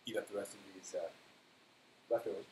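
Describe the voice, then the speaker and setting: A man's voice: on the low side, fairly even intonation, a little fast. A man hosting a casual live stream, chatting in an offhand way as he thinks aloud. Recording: roomy echo, faint hiss.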